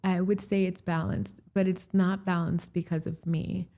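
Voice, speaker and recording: A woman from Puerto Rico, a guest in a casual interview, who talks in an animated, chatty way and laughs often. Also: fairly low pitch, moderate pace. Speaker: real estate broker, podcast guest.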